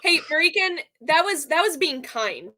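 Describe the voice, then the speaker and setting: Bright, high-voiced teasing woman. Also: big pitch swings. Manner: playful, teasing, quick to laugh. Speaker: co-host of a livestream. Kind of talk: casual group chat.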